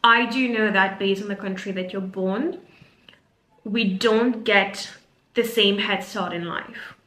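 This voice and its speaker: Woman, Mauritian accent, Creole native speaker; reflective unscripted vlog, bright voice, roomy sound. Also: medium pitch, fairly even intonation, takes it slowly. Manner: reflective, earnest, frequent fillers and restarts. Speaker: career coach and vlogger. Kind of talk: unscripted talking-to-camera vlog. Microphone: camera mic.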